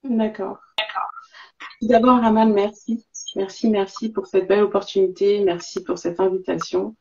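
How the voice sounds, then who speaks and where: A woman's voice: medium pitch, flat delivery, normal speed, a little mellow, slight background noise. A woman in her forties from southern France, chatting as an interview guest over a lo-fi video call. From Marseille, southern France. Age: 40s. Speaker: interview guest, entrepreneur and school coach. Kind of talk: casual interview conversation.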